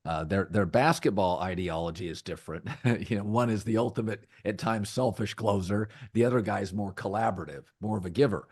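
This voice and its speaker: Deep-voiced middle-aged man talking sports. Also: husky, no room sound, clean studio sound. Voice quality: deep, rich voice. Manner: measured, thoughtful delivery with frequent restarts. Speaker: podcast host. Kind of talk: casual sports talk.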